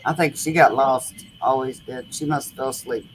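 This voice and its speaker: A woman's voice: extremely low pitch, steady tone, normal speed, a little hiss or hum, low-quality recording. A middle-aged grandmother chatting casually, with homey, rambling talk and folksy grammar. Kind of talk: casual chat.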